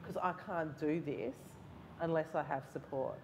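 A woman's voice: low voice, moderate pace, background noise. A woman in her forties with an Australian accent, a writing lecturer telling candid stories with self-deprecating humour on a festival panel. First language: Mauritian Creole or Italian. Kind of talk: panel discussion. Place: large hall, seated on stage.

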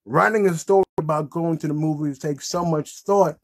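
A man's voice: a little high, normal speed. A man in his thirties chatting casually and off the cuff as the host of a puppet show. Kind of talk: casual improvised chat with a puppet. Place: small indoor room with green backdrop.

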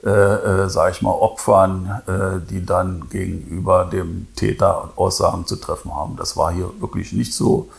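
A man in his sixties, a lawyer, recalls things haltingly in an interview, speaking into a lapel microphone in an office. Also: deep voice, level tone, gravelly. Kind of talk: spontaneous interview recollection. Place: office with file shelves.

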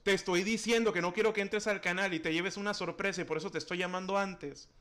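A man's voice: high-pitched, steady tone, fast, crowd noise. A man, chatting casually on a phone call during a livestream. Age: 20s. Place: indoor room at home.

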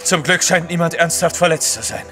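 An actor playing a blunt, proud Russian merchant in an audio drama. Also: male voice, a little high, fairly even intonation, a little fast, bright, forceful tone, faint music behind. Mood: terse, proud.

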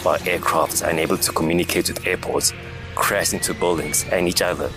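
Deep-voiced male narrator over music. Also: steady tone, brisk. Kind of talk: scripted dramatic narration of a sci-fi audio drama.

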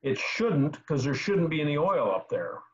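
A man in his sixties giving casual technical advice as a host on a video call, halting and repeating words as he explains. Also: a little high, big pitch swings. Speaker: host answering car repair questions. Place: small home room indoors. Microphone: computer mic on a video call. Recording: slightly dull video call audio.

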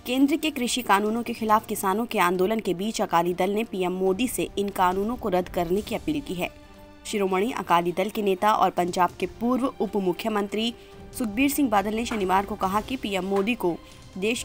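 A woman reading a scripted news voice-over in a formal, even manner. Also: mid-range voice, normal speed, soft background music. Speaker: news anchor.

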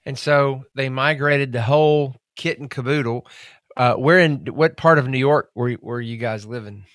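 A man hosting a podcast interview, asking questions in a folksy, relaxed way. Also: medium pitch, normal speed, dry recording, studio quality. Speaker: podcast host. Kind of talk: casual interview.

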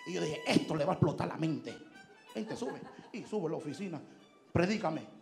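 A man in his thirties preaching a fiery sermon on a church stage into a handheld mic, shouting and mixing Spanish with English. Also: fairly high pitch, lively intonation, normal speed, creaky, over music. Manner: shouting, emphatic, switching between Spanish and English. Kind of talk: Pentecostal sermon. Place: large church stage indoors. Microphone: handheld microphone.

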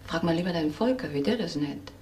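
An actress playing a sensible, admonishing office colleague in a crime radio drama, reproachful and matter-of-fact. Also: female voice, deep voice, lively intonation, fast, a little mellow. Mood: dry reproach.

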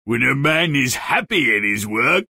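Raspy, forceful fairy-tale game character. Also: male voice, medium pitch, big pitch swings, measured pace, dry recording, studio quality, exaggerated voice. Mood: grim, pompous. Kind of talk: video game character acting.

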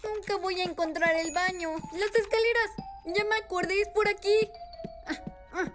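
A woman voicing a small, excitable boy rabbit in a children's cartoon, with a Latin American Spanish accent and an expressive, childlike manner. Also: extremely high pitch, moderate pace. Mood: anxious, urgent. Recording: music behind the voice.

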